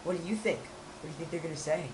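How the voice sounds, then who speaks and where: Young male co-host, hoarse and raspy, rambling, faint noise behind. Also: a little high, quick pace. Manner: rambling, restarts often. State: hoarse from a cold. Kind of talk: casual chat.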